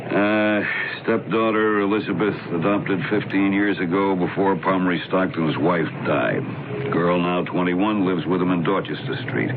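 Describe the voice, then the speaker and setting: Through a heavily noisy, narrow old radio broadcast comes a low-pitched newspaperman. He is wry and casual, forever grumbling and wisecracking, in the manner of mystery radio drama.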